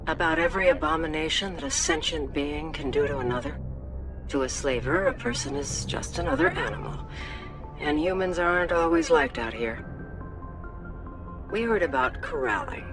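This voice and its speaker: A brisk naval officer mother. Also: lowish voice, huge pitch swings, gravelly, full character voice. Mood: somber. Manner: brisk, dutiful, quietly concerned. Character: brisk middle-aged female naval officer, caring mother. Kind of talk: video game voice acting. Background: game music.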